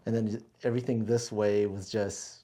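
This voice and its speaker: A man's voice: on the low side, little pitch movement, moderate pace, warm tone. An American man hosting a casual interview in a small studio, speaking in a laid-back, informal way. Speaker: interview host.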